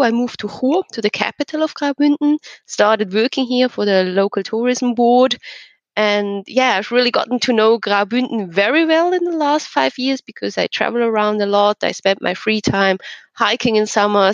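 A woman's voice: mid-range voice, dry recording, highs cut off. Woman explaining, close dry sound. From eastern Switzerland. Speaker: podcast guest, regional tourism representative. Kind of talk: conversational interview.

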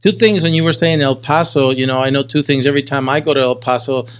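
A man's voice: medium pitch, slight background noise. A man hosting a podcast, interviewing casually and conversationally, often repeating words as he forms his questions. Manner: halting, repeats words while forming questions. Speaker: podcast host.

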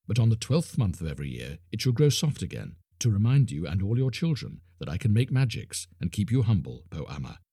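A man voicing a stern ancient male magician in a storybook reading, speaking in slow, grave pronouncements. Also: very animated, warm tone, slightly rough, no echo, clean studio sound, heavily acted. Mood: solemn. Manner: slow, low and solemn pronouncements.

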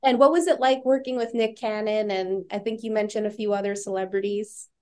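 A woman's voice: medium pitch, steady tone, brisk, dark tone. A woman hosting a podcast, asking casual questions full of "like" and "you know". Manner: hesitant, filler-heavy questions. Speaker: podcast host.

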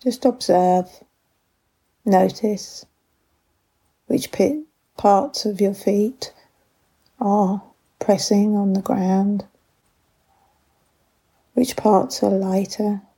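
A woman leading a guided body scan meditation with gentle, unhurried instructions and long pauses, in a soft, breathy voice. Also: medium pitch, fairly even intonation.

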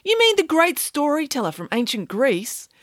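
A woman's voice: high-pitched, huge pitch swings, normal speed, fairly bright tone, dry recording, clean studio sound, voice pushed up, exaggerated voice. A woman voicing an earnest, literal-minded schoolboy in an audiobook, questioning and objecting matter-of-factly. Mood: puzzled. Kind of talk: audiobook character voice.

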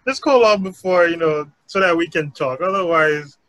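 A man in a casual group chat who speaks calmly and in a measured way, trailing off softly. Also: on the high side, rough recording.

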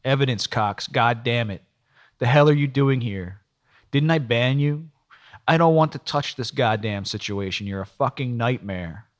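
A man's voice: lowish voice, moderate pace, lightly acted. A man voicing an angry, exasperated male police detective in an audiobook, scolding with profane rhetorical questions. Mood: furious, exasperated.

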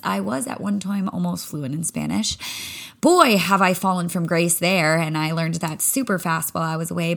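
Woman, young adult, solo podcast host, casual and candid, swears freely, lowish voice. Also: vocal fry. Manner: candid, animated, swears casually, acts out her own thoughts. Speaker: podcast host, spin instructor.

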